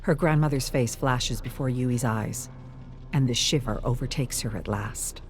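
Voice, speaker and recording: Wistful, measured story narrator over soft music. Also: mid-range voice. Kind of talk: dramatic scripted story narration.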